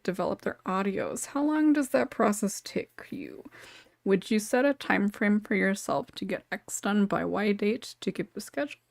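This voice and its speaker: A woman's voice: medium pitch, vocal fry, no room sound, clean studio sound. A woman hosting a casual panel discussion, chuckling often as she talks. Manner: chuckles often while talking. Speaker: podcast host and moderator.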